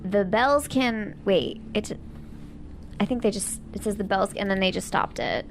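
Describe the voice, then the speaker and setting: A woman's voice: medium pitch, unhurried, creaky, slight background noise. A woman playing a tabletop game, chatting casually and reading aloud as one of the players.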